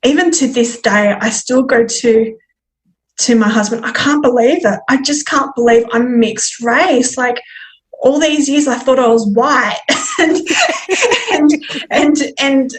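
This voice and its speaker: A woman guest telling her personal story in conversation, reflective and candid, with a halting delivery. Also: high voice, animated. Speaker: podcast guest.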